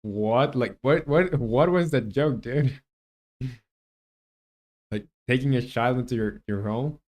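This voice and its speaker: Man, mid-pitched voice, indie developer streaming his coding, thinking aloud, casual. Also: slow, darkish tone. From Chile. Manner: muses aloud while coding, chats with viewers.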